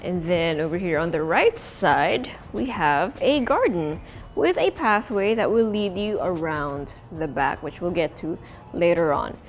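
Chatty low-voiced Filipino woman host. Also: expressive, measured pace, faint music behind. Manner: chatty, switches languages mid-sentence. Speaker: real estate tour host. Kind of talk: casual walkthrough narration. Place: indoors and outdoors in an empty house.

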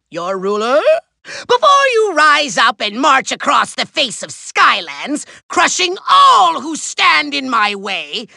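An actor playing a small, pompous, manic evil overlord in a video game, declaiming grandly in a nasal, gravelly voice. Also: male voice, extremely high pitch, pushed and bright, no room sound, studio quality, heavily acted. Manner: grandiose, theatrical, drawn-out declaiming. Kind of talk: video game villain voice acting.

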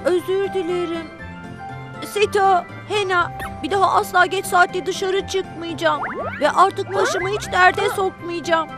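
A woman voicing an excitable young bus in a children's cartoon dub, childlike and squeaky, with expressive exclamations and a whiny streak. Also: slow pace. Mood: apologetic. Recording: music behind the voice.

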